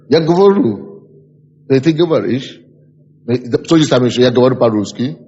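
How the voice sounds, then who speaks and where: A male pastor from Africa speaks, his voice slightly breathy and rough. He lectures in an exhorting way, repeating key phrases and asking his class questions. The recording sounds dull and lo-fi, with a long reverb trailing off through a large hall. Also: mid-range voice, darkish tone. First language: an African language. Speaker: pastor teaching a ministry school.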